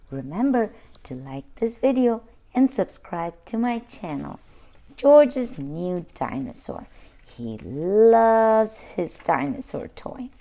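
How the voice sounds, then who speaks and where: Slow, expressive woman reading to children. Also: fairly low pitch, creaky. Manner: expressive, deliberate. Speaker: read-aloud channel host. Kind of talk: children's storybook narration.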